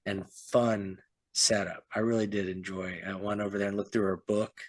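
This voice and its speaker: A man's voice: on the low side, flat delivery, moderate pace, dry recording. A man, an author co-hosting a podcast, chatting casually and rambling, often trailing off with "so". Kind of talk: casual chat.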